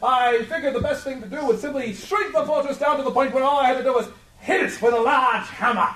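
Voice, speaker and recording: A man's voice: very high voice, fast talker, fairly bright tone. A man playing a vain, deluded adventurer hero in a live comedic radio play, pompous and theatrical. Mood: boastful. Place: live convention stage. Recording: echoey live stage, distant mic.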